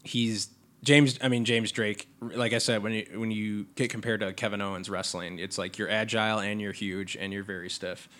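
A man co-hosting a casual podcast, sniffly from allergies, excitable and swearing freely. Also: lowish voice, moderate pace. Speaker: podcast co-host. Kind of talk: casual wrestling chat. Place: Chicago area.

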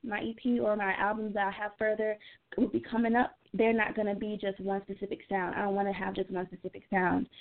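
A woman's voice: mid-range voice, gravelly. A young woman from Atlanta giving a casual radio interview as a guest singer, informal and rephrasing as she goes. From Atlanta, United States. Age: young adult. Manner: informal, repeats and rephrases herself. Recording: tinny, phone-like line.

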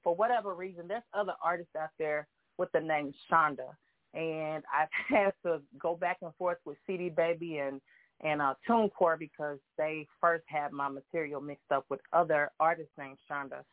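Soft halting woman from oklahoma, guest singer calling in, tinny phone sound. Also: sits low, fairly even intonation, no room sound. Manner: soft-spoken, halting, many fillers. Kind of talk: phone-in interview. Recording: tinny phone line, dry.

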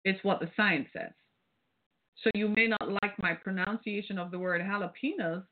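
A woman's voice: medium pitch, normal speed, noisy background. Caribbean-accented woman podcaster over a phone line. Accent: British Caribbean. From British Caribbean. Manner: preachy, rhetorical, tags points with "right?". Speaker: podcast host. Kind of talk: casual solo podcast commentary. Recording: phone-like, narrow band.

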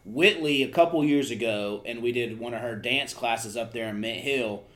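A man hosting a podcast, laid-back and quick to laugh, asking questions in a halting, casual way. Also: medium pitch, a bit bright, a little hiss or hum. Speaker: podcast host. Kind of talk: casual interview chat.

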